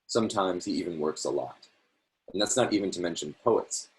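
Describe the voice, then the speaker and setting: A man with an extremely low, deep voice reads a literary essay aloud over a video call. He takes it phrase by phrase in a measured way and now and then corrects a slipped word. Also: low-quality recording. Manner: measured, phrase-by-phrase delivery with small self-corrections. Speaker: reader hosting a reading series.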